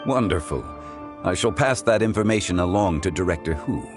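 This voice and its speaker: An actor playing a composed, scholarly gentleman in a video game, speaking in formal, courteous phrases. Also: deep voice, lively intonation, brisk, in a large hall, music in the background. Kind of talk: scripted video game dialogue.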